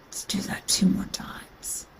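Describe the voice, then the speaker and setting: A woman guiding a yoga nidra meditation in a soothing, unhurried manner with long pauses, her phrases trailing into breathiness. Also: in a whisper. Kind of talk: guided meditation.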